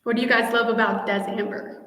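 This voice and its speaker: A woman with a fairly high voice hosts a casual live Q&A for fellow fans. She talks with gushing enthusiasm, chatting directly to her viewers. The room is very echoey, leaving a long reverb on her voice. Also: flat delivery, fast, fairly bright tone. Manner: gushing, enthusiastic fan talk. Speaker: host of a fan channel.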